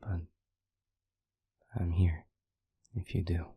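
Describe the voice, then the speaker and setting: A man playing a tender, devoted demon lover in an intimate audio roleplay, speaking gently and hesitantly in a husky near-whisper. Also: deep voice, level tone, no room sound, heavily acted. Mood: tender, concerned. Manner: gentle, hesitant, slow and deliberate.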